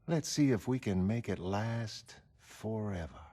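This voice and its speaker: A man's voice: lowish voice, expressive, measured pace, warm tone. A man voicing an affectionate, coaxing wife in a motion comic. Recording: music behind the voice.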